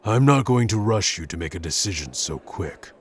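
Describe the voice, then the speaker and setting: A man playing a gruff, awkward young tsundere prince who speaks haltingly and grudgingly in an intimate roleplay audio addressed to the listener. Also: low voice, sing-song, slight background noise. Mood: shy, reassuring. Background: background music.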